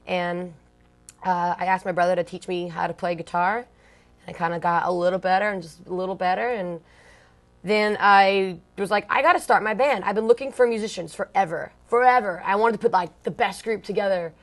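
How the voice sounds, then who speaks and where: A woman's voice: mid-range voice, fairly even intonation, a little fast. A young woman from Long Beach, California, a punk band singer telling her story in an interview, chatty and blunt, full of "like" and "um". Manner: blunt, slangy, swears casually.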